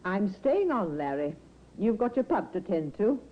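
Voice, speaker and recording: A woman's voice: mid-range voice, very animated, normal speed, background noise, natural voice. A woman playing a fussing, stubborn, protective middle-aged mother in a 1950s radio drama. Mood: firm, stubborn. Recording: dull old radio recording.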